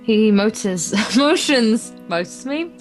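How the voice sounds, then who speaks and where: A woman's voice: a little high, expressive, takes it slowly, music in the background, rough recording. A woman co-hosting a let's play, reading visual novel narration aloud with casual asides.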